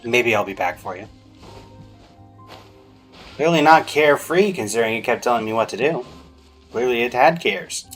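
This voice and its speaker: A man's voice: mid-range voice, expressive, moderate pace, long pauses, a bit bright. A man giving casual gameplay commentary, muttering to himself with playful, mock-formal phrasing. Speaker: game streamer. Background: game music.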